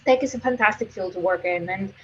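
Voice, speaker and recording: A woman's voice: medium pitch, fast talker, a bit bright, slight background noise. Greek-accented woman giving an earnest talk. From Greece. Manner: earnest, with frequent restarts. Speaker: guest speaker. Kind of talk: presentation talk. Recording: muffled lo-fi audio.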